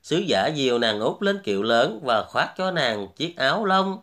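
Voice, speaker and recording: A man's voice: medium pitch, big pitch swings, moderate pace, a bit bright. A man hosting and narrating a fairy tale from a script as a storyteller. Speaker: storyteller, host.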